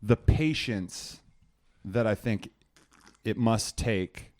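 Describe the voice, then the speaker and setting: A man's voice: lowish voice, extremely slow, a little mellow. A man hosting a podcast, talking in a reflective, encouraging way and drawing his guest out. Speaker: podcast host. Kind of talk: casual conversation.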